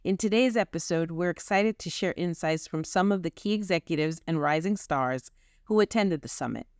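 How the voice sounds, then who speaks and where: Host read: woman, low and smooth, upbeat scripted delivery. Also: normal speed, darkish tone, dry recording, clean studio sound. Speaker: podcast host. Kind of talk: scripted podcast intro.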